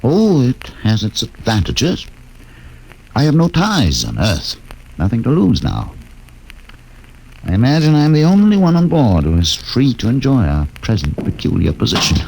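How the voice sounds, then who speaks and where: A brooding, wry ship's doctor. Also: male voice, mid-range voice, wildly swooping pitch, creaky, a little mellow, husky, exaggerated voice, rough recording. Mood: somber. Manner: dry, fatalistic, measured. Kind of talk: 1950s radio drama acting.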